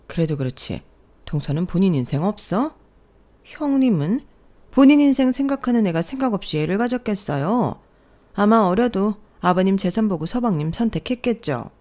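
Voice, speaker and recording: A woman's voice: mid-range voice, lively intonation, moderate pace, warm tone, lightly acted. A woman voicing haughty older sisters-in-law who mock and belittle the young bride. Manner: mocking, condescending, needling.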